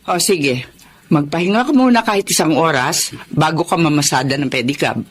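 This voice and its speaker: A woman playing a stern, hot-tempered middle-aged mother-in-law in a Filipino radio drama, with a Filipino accent and an expressive delivery. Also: sits low, moderate pace, husky. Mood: surprised, softening.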